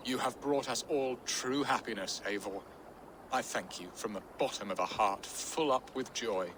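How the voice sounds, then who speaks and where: A man's voice: medium pitch, moderate pace, a bit bright, slight background noise, natural voice, poor audio. A man playing a theatrical, lovesick Anglo-Saxon lord in a video game, talking in a florid, hammy, jovial manner. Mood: merry, grateful.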